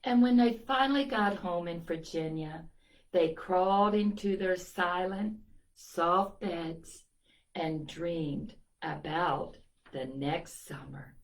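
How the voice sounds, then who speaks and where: A woman's voice: lowish voice, takes it slowly, low-quality recording. A woman reading a picture book aloud to children, telling it expressively and adding little asides to her young listeners. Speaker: children's storytime reader.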